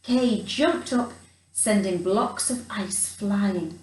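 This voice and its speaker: A woman reading a storybook to children in a theatrical, expressive way, adding chatty asides. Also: mid-range voice, extremely slow. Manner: theatrical, dramatic emphasis, chatty asides to young listeners. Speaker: children's storyteller in character as a Christmas elf. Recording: roomy echo, distant from the mic.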